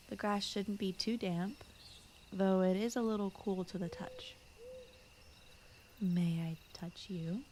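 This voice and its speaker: A woman voicing a gentle, shy fox spirit who guards a forest, speaking softly and often in whispers in a soothing bedtime ASMR roleplay style. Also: mid-range voice, slight background noise. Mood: concerned, soothing. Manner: soft-spoken, often slipping into whispers, rambling, apologetic. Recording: clear night cricket and forest ambience. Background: crickets, insects, night forest ambience.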